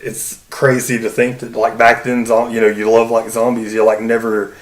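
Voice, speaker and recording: A man's voice: medium pitch, monotone, normal speed. A man co-hosting a podcast in casual chat, rambling and full of fillers. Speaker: podcast co-host. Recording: echoey room sound.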